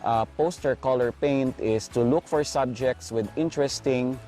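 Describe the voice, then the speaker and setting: A man's voice: medium pitch, moderate pace, dark tone, faint music behind. A man with a Filipino accent narrating an instructional video as an art teacher, explaining step by step.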